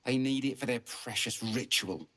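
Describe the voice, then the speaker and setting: An actor playing a worried, reasonable father in a video game, measured and grumbling with bitterness. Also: medium pitch, bright, forceful tone. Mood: resigned, exasperated.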